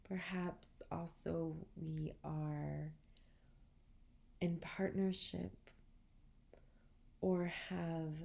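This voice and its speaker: Slow, gravelly-edged woman guiding relaxation. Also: fairly low pitch. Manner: soothing, gentle, measured with long pauses. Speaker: guided meditation instructor. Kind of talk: guided relaxation meditation.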